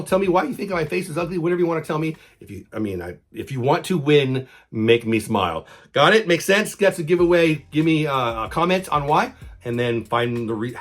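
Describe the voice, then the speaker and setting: A man with a hoarse voice from a cold, hosting a casual sports fan vlog and talking chatty and repetitive. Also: a little high. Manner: chatty, repeats himself, fan talk. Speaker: channel host.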